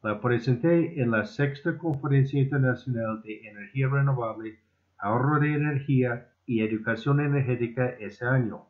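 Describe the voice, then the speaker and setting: A man who speaks as a second-language speaker, reading a formal scripted lecture in a deliberate, slightly stumbling way. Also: mid-range voice. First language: not Spanish (Spanish is his second language). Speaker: amateur inventor presenting his own proposal. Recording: muffled, phone-like narrow band.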